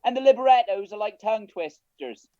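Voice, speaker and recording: A man's voice: high voice, lively intonation, normal speed, a little mellow, no room sound. Man acting a wry pub regular, muffled sound. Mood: explanatory. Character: knowledgeable, wisecracking male opera-loving pub regular. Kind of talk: radio comedy acting.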